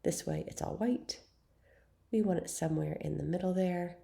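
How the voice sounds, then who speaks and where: A young woman with a cold, sounding raspy and congested, chatting her way through a photo-editing tutorial with frequent ums and self-deprecating asides. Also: on the low side, moderate pace, dark tone. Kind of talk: screen-recorded software tutorial.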